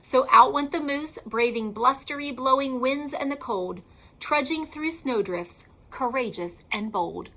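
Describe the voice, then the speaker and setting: Woman storyteller for a picture book: slow, deliberate pacing, high register, emphatic delivery. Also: sharp tone. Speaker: elementary school teacher reading aloud. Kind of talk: expressive children's picture book read-aloud.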